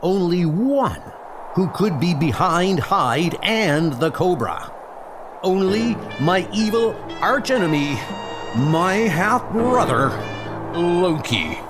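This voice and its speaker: A man's voice: sits high, big pitch swings, slow, music in the background, full character voice. A man voicing a noble, vengeful thunder god in a comic book audio drama, declaiming in archaic, heroic speech.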